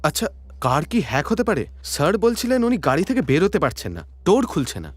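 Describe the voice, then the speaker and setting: Earnest cartoon cop, businesslike, quick talker, slightly high voice. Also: male voice, sing-song, soft background music. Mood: concerned. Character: earnest, businesslike male cartoon police detective. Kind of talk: animated cartoon dialogue.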